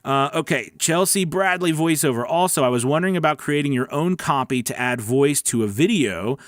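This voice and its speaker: A man's voice: fairly high pitch, lively intonation, moderate pace, dry recording, clean studio sound. A man hosting a voice-over training livestream, chatting casually with viewers and answering their comments in an encouraging, emphatic, conversational way.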